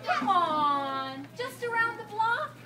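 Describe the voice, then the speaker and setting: Woman doing a very high, pleading pigeon. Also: big pitch swings, takes it slowly, over loud music, exaggerated voice. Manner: pleading, dramatic. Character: pleading, wheedling cartoon pigeon, stubborn and excitable. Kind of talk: children's puppet show storytelling. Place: library children's room, audience of children. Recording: echoey noisy room from far off.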